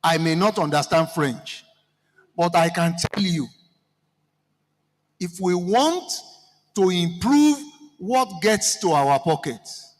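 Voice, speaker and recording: A Nigerian man with a Yoruba-speaking accent speaks high and unhurried, throwing rhetorical questions at the crowd. His voice rings through the long reverb of a large hall. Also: animated. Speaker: seminar participant speaking to the floor. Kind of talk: impassioned address to a seminar audience.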